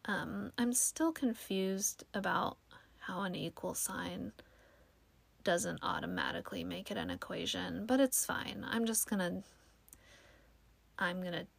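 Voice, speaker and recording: A woman's voice: medium pitch, creaky, no echo. Young female podcast host, soothing voice, casual filler-heavy chat, close dry mic. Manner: chatty, self-deprecating, lots of fillers.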